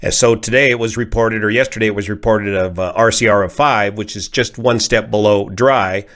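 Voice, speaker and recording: An American man, a pilot from California, giving an informal analysis in a measured, methodical, technical way. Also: lowish voice, fairly even intonation, a bit bright. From California, United States. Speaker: pilot and aviation commentator. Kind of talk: informal explanatory accident analysis.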